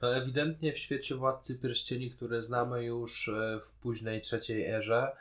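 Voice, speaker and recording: A man's voice: mid-range voice, little pitch movement, a little slow, a bit bright. A man, co-hosting a podcast in a casual discussion of a TV series. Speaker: podcast co-host.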